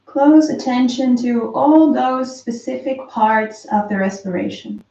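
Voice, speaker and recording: A woman slowly and softly guiding a breathing meditation, calm with long pauses. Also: a little high, creaky. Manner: slow, soft, calm guidance with long pauses. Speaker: meditation teacher. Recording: roomy, distant, dull lo-fi sound.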